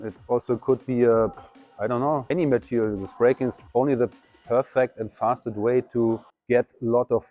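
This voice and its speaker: A man in his fifties, a graffiti artist, giving reflective, halting interview answers at an art show. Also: mid-range voice, a little mellow, no room sound. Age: 50s. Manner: reflective, halting, non-native phrasing.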